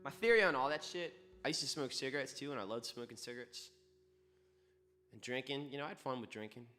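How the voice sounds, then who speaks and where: A man's voice: medium pitch, sharp tone, faint music behind. Brief: male musician, loose rambling chat between songs, swears freely, easygoing, speeds up in bursts. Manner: rambling, profane, laid-back with quick bursts. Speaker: singer-songwriter hosting a livestream.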